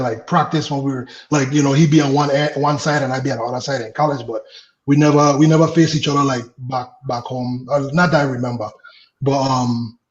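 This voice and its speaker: A man in his late thirties from the Virgin Islands tells stories in a loose, easygoing way, with easy laughs and plenty of fillers. His voice sits a little high for a man. The recording echoes and sounds dull and lo-fi. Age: late 30s. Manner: laughs easily, relaxed, trails off with fillers. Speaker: guest, former baseball pitcher. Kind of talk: casual interview storytelling.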